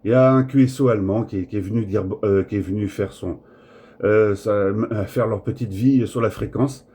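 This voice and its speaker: A man with a husky, mellow voice chats casually as a radio operator. He rambles and hesitates as he goes, passing the talk back and forth in an easy, informal way. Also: fairly low pitch, steady tone, moderate pace. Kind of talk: casual radio contact chat.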